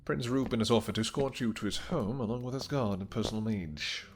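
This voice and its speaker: A man's voice: on the low side, fairly quick, slight background noise, close to an everyday voice. A man playing a tender, possessive vampire king with formal, courtly phrasing in a romantic fantasy audio roleplay. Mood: resigned, displeased.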